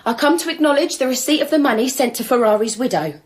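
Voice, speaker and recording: Husky, bitter working-class widow. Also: female voice, high voice, fast. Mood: defiant, bitter. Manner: pointed, stubbornly polite. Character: bitter, bold working-class widow confronting her betters. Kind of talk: radio drama acting.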